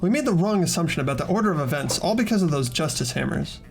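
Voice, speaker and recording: A woman playing an earnest, determined teenage investigator in an amateur game dub, expressive in dialogue and inner narration. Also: deep voice, fast. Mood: thoughtful, determined. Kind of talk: scripted amateur visual novel voice acting and inner narration.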